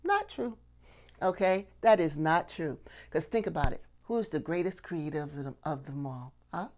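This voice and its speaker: A woman's voice: sits low, very animated, moderate pace, darkish tone. A middle-aged woman giving a casual instructional talk to camera, conversational, often asking questions and checking in with the listener. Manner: conversational, rhetorical questions and frequent "okay?" check-ins. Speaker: puppetry instructor and channel host.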